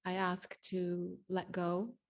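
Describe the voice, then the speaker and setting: A woman leading a guided meditation, giving spoken instructions in deliberate short phrases with long pauses between them. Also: lowish voice, completely flat delivery.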